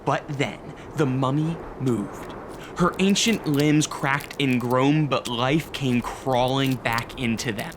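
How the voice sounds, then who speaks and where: A man narrating a scripted audio drama in a measured way with dramatic pauses. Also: mid-range voice. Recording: noticeable background noise.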